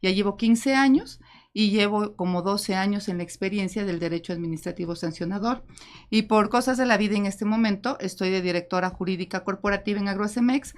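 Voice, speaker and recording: A woman from Ecuador whose speech now carries a Mexican accent. She talks as a panel guest, lively and expressive, laughing easily as she speaks. Her pitch sits in a middle range for a woman. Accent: Mexican Spanish with Ecuadorian traces. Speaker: guest lawyer. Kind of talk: panel talk.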